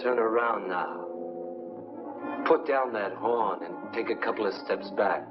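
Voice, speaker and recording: A man's voice: lowish voice, measured pace, lightly acted. An actor playing a menacing, jittery man in a 1950s crime film. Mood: tense, threatening. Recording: muffled old film soundtrack, loud music.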